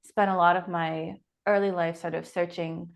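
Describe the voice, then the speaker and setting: A woman's voice: lowish voice, flat delivery, normal speed, creaky. A woman in her forties from the Pacific Northwest, answering interview questions reflectively as an author guest. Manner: reflective, thinks aloud with restarts.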